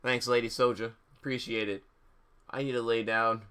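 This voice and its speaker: A man's voice: mid-range voice, little pitch movement, normal speed, bright, forceful tone, clean tone. A man hosting a livestream, switching between casual chat and a theatrical, dramatic reading of fan fiction. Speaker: streamer and host reading fan fiction aloud.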